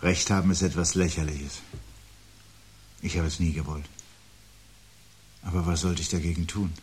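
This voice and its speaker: A man acting a weary, resigned intellectual husband in a 1970s radio play, speaking in a measured, reflective way with a husky voice. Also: sits low, steady tone, a little mellow. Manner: measured, reflective, pedantic phrasing.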